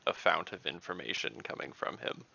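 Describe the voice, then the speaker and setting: A man plays in an online tabletop game, chatting casually with a wry manner. His voice is lowish and creaky with animated swings, on slightly dull audio. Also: normal speed.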